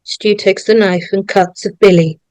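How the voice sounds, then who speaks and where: A woman's voice: mid-range voice, fairly even intonation, moderate pace, a little mellow, no echo, low-quality recording. A woman narrator reading stage directions aloud at a dramatic script table read.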